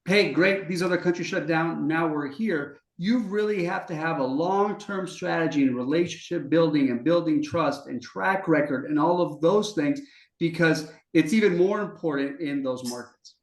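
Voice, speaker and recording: A man's voice: on the high side, moderate pace. A man co-hosting a podcast, talking fluently and confidently in long explanations. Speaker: podcast co-host, business development executive. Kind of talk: conversational interview. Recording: echoey room.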